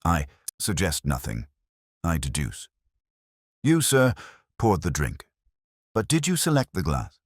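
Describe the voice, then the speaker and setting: A man's voice: sits low, wildly swooping pitch, unhurried, long pauses, slightly rough, no echo, exaggerated voice. A man voicing a precise, commanding male detective with dry wit and a clipped manner in an audiobook. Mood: incisive. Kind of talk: audiobook character dialogue.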